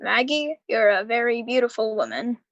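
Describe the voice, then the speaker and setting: A woman playing a weary, heartbroken uncle in a live radio drama, with halting delivery. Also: a little high, expressive, dry recording, dull audio. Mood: tender, admiring. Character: weary, heartbroken uncle, slowly recovering after misfortune.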